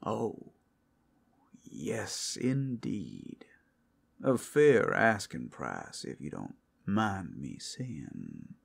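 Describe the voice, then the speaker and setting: A man's voice: deep voice, wildly swooping pitch, very slow, gravelly, husky, heavily acted. A man voicing a suave, predatory male werewolf in an ASMR roleplay, speaking in a flirtatious, teasing drawl. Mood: sly, welcoming.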